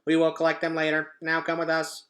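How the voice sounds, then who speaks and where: A man's voice: fairly high pitch, completely flat delivery, fairly quick, a bit bright, lightly acted. A man voicing a cold, commanding robot enforcer in a children's storybook, giving clipped official orders. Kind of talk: children's book read-aloud.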